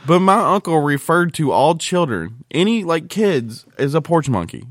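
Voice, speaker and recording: A man's voice: on the high side, sing-song, moderate pace, no room sound. American man in blunt, dry-sounding podcast banter. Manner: blunt, crude, colloquial. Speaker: podcast co-host.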